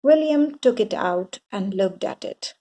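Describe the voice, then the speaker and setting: A woman reading a children's bedtime story aloud in a deliberate, expressive way for young listeners. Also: medium pitch.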